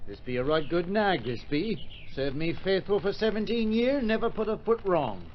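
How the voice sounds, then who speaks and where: A man's voice: high-pitched, animated, normal speed. A man playing a stubborn, folksy English cab driver with a rural accent in an old radio drama. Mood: indignant. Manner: indignant, folksy. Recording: muffled, noisy old radio recording.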